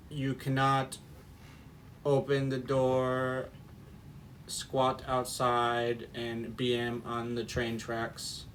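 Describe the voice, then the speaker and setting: A man voicing a goofy male train conductor giving silly announcements in a slow, mock-official tone. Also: mid-range voice, far from the mic, a little hiss or hum.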